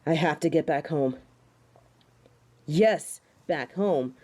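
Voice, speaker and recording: A woman acting a haughty, mellow-toned fallen angel. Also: low-pitched, slow. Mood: flustered, snobbish. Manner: haughty, theatrical, disdainful. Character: haughty fallen female angel, indignant, snobbish tsundere. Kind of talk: dramatic audio roleplay acting.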